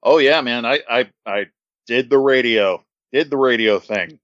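A man's voice: medium pitch, measured pace, fairly bright tone, no echo, muffled recording. A man joining a live stream remotely, playful and teasing in casual chat.

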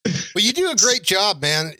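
A man's voice: high-pitched, big pitch swings, normal speed, sharp tone, dry recording. A man in his thirties co-hosting a podcast, joking and bantering with frequent laughs. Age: 30s. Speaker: podcast co-host.

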